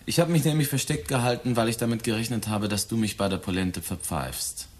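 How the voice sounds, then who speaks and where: A man's voice: on the low side, a little fast, close to an everyday voice. A man in a German radio drama playing a cynical 23-year-old murderer who taunts his father in a cold, flippant, insinuating way. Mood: sly defiant.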